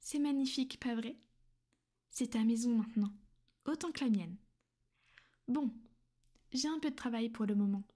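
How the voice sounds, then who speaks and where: Woman playing a soft-whispering vampire mistress. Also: a little high, normal speed, pauses often, close to an everyday voice. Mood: teasing. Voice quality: soft, half-whispered and intimate. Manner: soothing, coaxing and possessive. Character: gentle, reassuring female vampire mistress. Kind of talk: ASMR roleplay.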